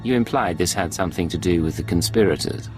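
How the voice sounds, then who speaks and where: Casting: cool spy male actor, deep and quick, dry, skeptical, game dialogue over clear music. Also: natural voice. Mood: businesslike. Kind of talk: video game voice acting.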